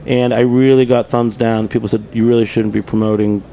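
A man's voice: mid-range voice, level tone, a little fast, mellow, slight background noise. A man chatting casually as a guest in a radio interview. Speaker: radio show guest, bar owner.

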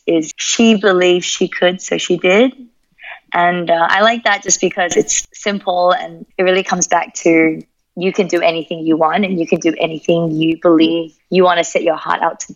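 A woman's voice: on the low side, dry recording, highs cut off. A woman in her thirties with an Australian accent, speaking candidly and reflectively as a podcast guest. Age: 30s. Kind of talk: interview conversation.

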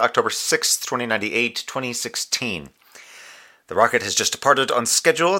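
An actor playing a middle-aged, conscientious moonbase communications officer in a sci-fi audio drama, with a gravelly, wry, weary delivery. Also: male voice, medium pitch, sharp tone. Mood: formal, businesslike. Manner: formal reporting with dry sarcasm and tenderness. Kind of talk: audio drama monologue as a radio report.

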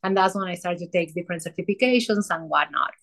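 A woman from Peru with a Peruvian Spanish accent, a podcast guest and mentor telling her story in a casual conversation, pausing often with fillers and restarts. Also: lowish voice, a bit bright. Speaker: guest, soul alignment mentor.

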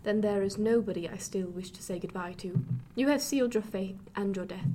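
A woman's voice: mid-range voice, a little fast, warm tone, lightly acted. A woman plays a bitter 18th-century lady and mother in a radio drama. She speaks in formal period phrases with a dry, cutting edge, over faint background noise. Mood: bitter, grim.

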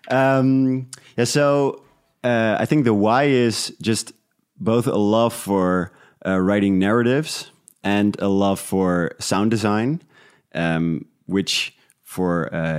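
Guy with a really low voice, casual interview chat, bit hesitant, thinking out loud. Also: takes it slowly. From Netherlands. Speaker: interview guest, audio drama creator.

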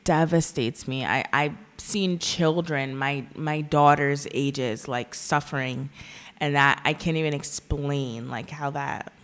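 A young woman co-hosting a podcast, speaking earnestly in a halting, casual way with many fillers. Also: sits low, fairly even intonation, fairly bright tone. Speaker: podcast co-host.

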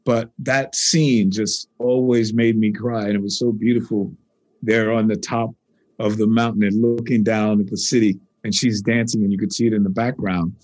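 A man from New York speaks with a slightly husky voice, recorded close and dry. He is a guitarist being interviewed, and he tells animated, rambling stories full of restarts. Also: on the low side, normal speed, no room sound, poor audio. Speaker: guitarist and composer. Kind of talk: casual interview conversation.

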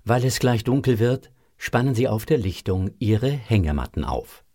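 A man narrating a children's audio drama as an expressive, deliberate storyteller. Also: fairly low pitch, gravelly.

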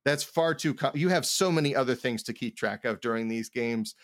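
A man co-hosting a casual chat about game mastering, talking in a halting, rambling way and often repeating words. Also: medium pitch, no echo, clean studio sound. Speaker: podcast co-host.

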